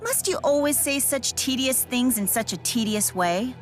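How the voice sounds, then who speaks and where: A woman's voice: sits high, expressive, normal speed, soft background music. A woman playing a sassy, complaining young adventurer in a video game, sarcastic and grumbling. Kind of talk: scripted game dialogue.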